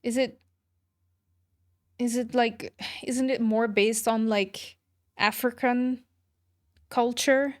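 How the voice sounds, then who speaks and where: A woman's voice: fairly high pitch, steady tone, takes it slowly, pauses often. A woman chatting casually with her viewers as she streams a game, informal and reactive to their comments. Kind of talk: casual livestream chat with viewers. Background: music behind the voice.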